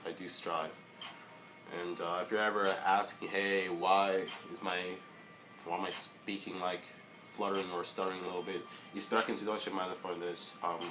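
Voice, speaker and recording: A young man giving a casual motivational vlog monologue, halting, with many fillers and restarts. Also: low voice. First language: English. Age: young adult. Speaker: vlogger.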